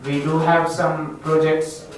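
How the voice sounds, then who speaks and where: A man's voice: fairly high pitch, little pitch movement, slow pace. A man with an Indian accent giving an informal self-introduction to a group, speaking in formal, earnest phrases. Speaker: student attendee speaking at a community meetup. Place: echoey indoor event room. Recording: echoey room, distant microphone.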